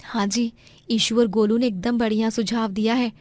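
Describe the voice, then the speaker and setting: A woman's voice: a little high, little pitch movement, moderate pace, a little mellow, dry recording, lightly acted. A woman playing a supportive, reassuring wife and mother, encouraging and respectful, in a moral story.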